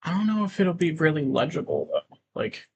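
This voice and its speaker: A man doing casual livestream commentary on a game, reading on-screen text aloud and halting to break into asides. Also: fairly high pitch, sing-song, vocal fry, lo-fi sound, slight background noise.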